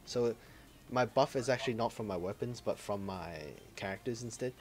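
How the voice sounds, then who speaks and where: A man co-hosting a casual gaming podcast, relaxed and offhand, swearing casually and trailing off while he hunts for words. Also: mid-range voice, moderate pace. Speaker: podcast co-host.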